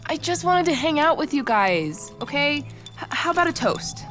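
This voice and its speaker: High-voiced, lively young student. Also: female voice, normal speed, soft background music. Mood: awkward, upbeat. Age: young adult. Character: chirpy, awkward young female student traveler. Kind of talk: audio drama acting.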